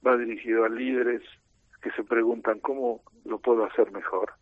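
A middle-aged man with an Argentine accent, a team coach explaining his ideas in a measured, lecturing way in a radio interview. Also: mid-range voice, steady tone, dry recording. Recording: tinny phone line.

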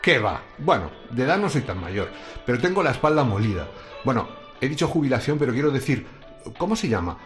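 An actor playing a chatty, grumbling middle-aged night security guard with a sore back in a radio drama. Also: male voice, medium pitch, big pitch swings, brisk, soft background music, natural voice.

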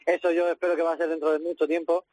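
Male phone-in sports pundit, slightly high voice, hesitant phrasing. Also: little pitch movement, racing, darkish tone, no echo, poor audio. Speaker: radio panelist. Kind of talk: casual sports discussion. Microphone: telephone call.

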